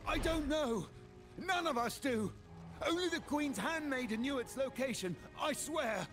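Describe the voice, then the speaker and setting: An actor playing a frightened, flustered guard in a fantasy game, earnest and pleading. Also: male voice, very high-pitched, expressive, unhurried, over music. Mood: terrified.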